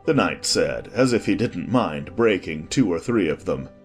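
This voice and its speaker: A man's voice: low-pitched, animated, moderate pace, faint music behind. A man reading scripted storybook narration as the narrator of an audiobook.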